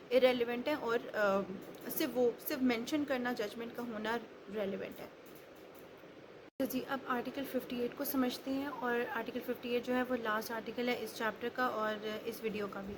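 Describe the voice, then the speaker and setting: A woman giving an explanatory law lecture step by step, mixing in English legal terms. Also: fairly high pitch. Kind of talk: explanatory lecture with worked examples. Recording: clearly noisy recording with background ambience.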